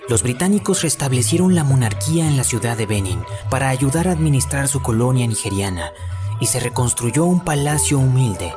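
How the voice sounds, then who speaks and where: A man with a Latin American Spanish accent delivers a scripted documentary voice-over. His voice sits at an average pitch for a man, and music plays clearly underneath the narration. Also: animated, fairly quick. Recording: music behind the voice. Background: background music.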